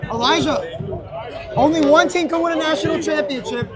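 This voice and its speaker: A man's voice: very high voice, expressive, normal speed, a crowd in the background. A young man working as a sports reporter, conducting TV-style interviews.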